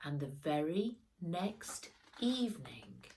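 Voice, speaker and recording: A woman reading a children's storybook aloud as its author, with animated, expressive delivery and dramatic emphasis. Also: sits low, takes it slowly, slight background noise, low-quality recording.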